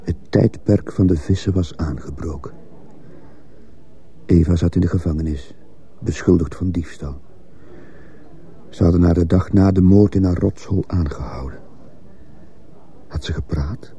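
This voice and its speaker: An actor playing a brooding, troubled man who narrates a radio play in the first person, grave and measured, with a gravelly, husky voice. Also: low-pitched, warm tone, exaggerated voice. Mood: brooding, uneasy. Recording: muffled, dull radio play recording.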